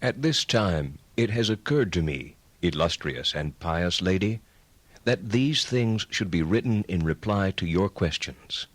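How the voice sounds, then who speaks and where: A learned medieval friar speaks in a low, deliberate voice. He is formal and courteous, setting out his reasoning like a patient teacher in an audio drama, close and dry. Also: animated, dry recording. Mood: humble, courteous. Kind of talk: dramatized reading of philosophical writings.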